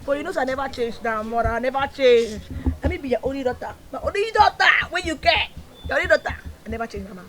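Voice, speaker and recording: A woman's voice: sits high, expressive, fast talker, a little hiss or hum. A woman with a Nigerian accent playing a hot-headed, defiant, street-tough daughter in a film drama. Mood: pleading. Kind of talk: film drama dialogue in Pidgin English. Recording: music behind the voice.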